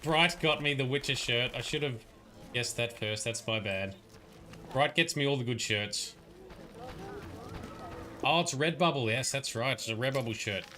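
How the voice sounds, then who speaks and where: A man's voice: medium pitch, normal speed, long pauses, sharp tone, a little hiss or hum. A young man casually narrating a game on a livestream, chatting with viewers and answering their comments. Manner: chatty, reads and answers chat comments, frequent "um"s.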